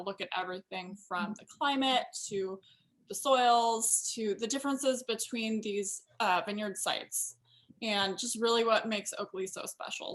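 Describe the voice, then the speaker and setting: A woman's voice: medium pitch, fairly bright tone. A woman hosting an online tasting event, presenting in a casual, conversational way. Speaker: host of a winery virtual tasting.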